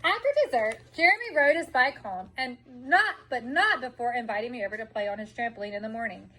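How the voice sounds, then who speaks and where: Woman, high voice, storybook read-aloud, expressive delivery, drawn-out emphasis. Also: a little hiss or hum, low-quality recording. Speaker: storybook reader. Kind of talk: children's picture book read-aloud.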